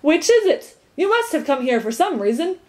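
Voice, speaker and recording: A woman's voice: high voice, very animated, normal speed, dark tone, voice pushed up. A woman voicing a pompous, impatient male gateman who announces things officiously. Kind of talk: audiobook character voice.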